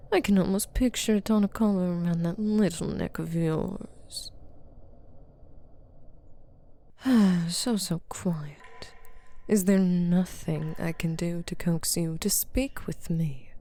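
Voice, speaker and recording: A woman voicing a stern, taunting female demon captor in an ASMR roleplay, authoritative and teasing, often dropping to a breathy murmur. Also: on the low side, mellow. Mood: amused, teasing. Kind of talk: ASMR roleplay monologue to the listener.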